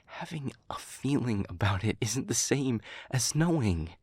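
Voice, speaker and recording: A man playing an anguished, tender demon in an intimate audio roleplay, with a breathy, husky voice that often drops toward a whisper and a halting, weighted delivery. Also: mid-range voice, animated, darkish tone, no echo, studio quality. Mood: hurt disbelief. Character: anguished demon pleading with a beloved human, tender.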